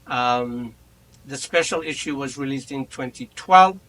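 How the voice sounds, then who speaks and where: A man in his fifties whose first language is Kurdish, chairing a memorial roundtable at an academic conference in a reflective, measured, personal way. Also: medium pitch, a bit bright, rough recording. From Iran. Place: conference room.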